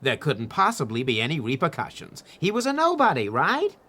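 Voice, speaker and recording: A sardonic, talkative man in a radio play, with a forceful, sharp voice on the high side. His pitch swings widely as he holds forth with wry, theatrical emphasis. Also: wildly swooping pitch, a little fast, exaggerated voice. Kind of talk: radio drama acting.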